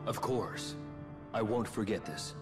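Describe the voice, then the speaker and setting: An actor playing an earnest young warrior with a solemn, determined manner in a video game cutscene. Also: male voice, lowish voice, measured pace, natural voice. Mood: sincere. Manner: solemn, plainly spoken, determined. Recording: loud background music.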